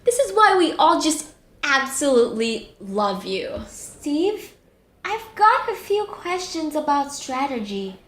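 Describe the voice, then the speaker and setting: A woman's voice: high-pitched, very animated, takes it slowly, exaggerated voice. A woman playing a perky, earnest news interviewer in a comedic audio drama, asking polite broadcast-style questions. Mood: bright, admiring. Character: female TV news panelist, perky, earnest or starstruck. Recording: roomy echo, faint background noise.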